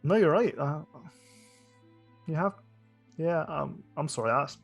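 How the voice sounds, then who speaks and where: A man puts on a fussy male stickler for the rules in a tabletop game, speaking with a Scottish accent. He is polite, hesitant and apologetic, in a fairly high voice over music. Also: expressive, a little slow, creaky, husky, heavily acted. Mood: politely flustered.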